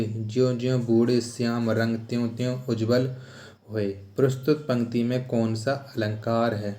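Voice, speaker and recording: A man's voice: medium pitch, mellow. A man teaching exam questions step by step, repeating himself and asking rhetorical questions. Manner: repetitive rhetorical questioning, announcing each question and answer. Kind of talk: exam question-and-answer lesson. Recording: echoey room sound.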